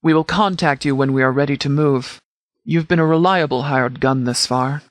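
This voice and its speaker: A man voicing a stern military commander in a video game, speaking formally and with measured authority as he briefs. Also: medium pitch, no echo, natural voice. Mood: businesslike. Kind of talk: scripted game dialogue, mission briefing.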